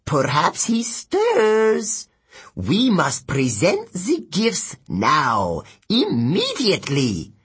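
A man voicing sinister, servile sea demons in an audiobook, with a strained hiss and drawn-out, menacing words. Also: fairly high pitch, huge pitch swings, fairly bright tone, breathy edge, pitched above the performer's own voice, heavily acted. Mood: alarmed, wary. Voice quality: hissing, strained. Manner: slow, drawn-out, menacing delivery. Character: hissing, servile seal-toothed sea demons, sinister and impatient. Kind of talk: audiobook character voice.

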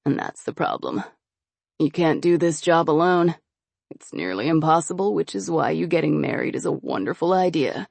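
A woman reading an audiobook voices a smooth-talking, scheming young nobleman who coaxes and quietly threatens. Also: sits low, lively intonation, normal speed, no room sound, exaggerated voice. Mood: coaxing. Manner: slick, coaxing, quietly threatening. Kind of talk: audiobook character voice.

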